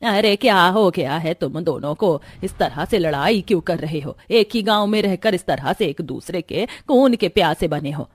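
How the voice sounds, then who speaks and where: A woman voicing a kindly, pious old village woman in a cartoon story, scolding yet gentle in plain village speech. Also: lowish voice, lively intonation, normal speed, hardly any pauses, slightly rough, no room sound, clean studio sound. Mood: chiding, pleading.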